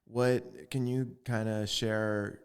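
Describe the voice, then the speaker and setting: A man's voice: on the low side, flat delivery, slow, a little mellow, clean tone. A man born in Russia hosting a podcast, friendly and a bit hesitant, with frequent ums. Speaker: podcast host. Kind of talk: casual interview.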